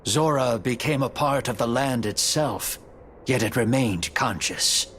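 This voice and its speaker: A man narrating a video game story cutscene in a measured, dramatic storytelling manner. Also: mid-range voice, a bit bright, a little hiss or hum. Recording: music under the voice. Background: music.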